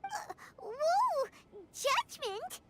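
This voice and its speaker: A woman voicing a tiny, excitable childlike fairy companion in a video game, squeaky and dramatically exclamatory. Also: extremely slow, heavy background noise, heavily acted. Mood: startled.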